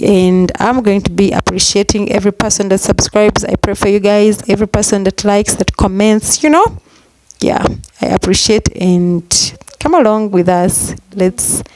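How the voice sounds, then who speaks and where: Ugandan lady vlogging outside in her coffee garden, mellow voice, chatty and upbeat, a little hiss behind. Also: medium pitch, moderate pace, poor audio. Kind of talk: casual vlog talk to camera. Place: outdoors in a rural coffee garden.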